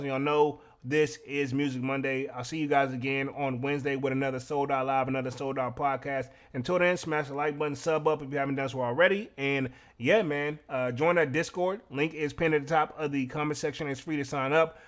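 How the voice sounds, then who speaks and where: A man's voice: a little high, a bit bright. A man hosting a podcast livestream, talking casually and conversationally with slangy asides. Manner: conversational, slangy, frequent "you know" asides. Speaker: podcast host and streamer. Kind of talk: casual livestream recap and sign-off.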